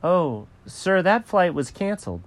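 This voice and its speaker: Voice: mid-range voice, sing-song, unhurried, no room sound, pitched above the performer's own voice. A storyteller voicing a courteous, apologetic woman working at an airline check-in counter. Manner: hesitant, courteous. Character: polite, apologetic female airline check-in clerk.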